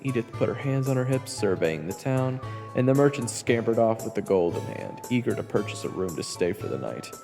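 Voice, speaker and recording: A man plays a weary fantasy adventurer narrating his story. His voice is low and creaky, washed in long reverb with music underneath. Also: lively intonation, a little fast. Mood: weary, reflective. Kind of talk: scripted first-person story narration. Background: background music.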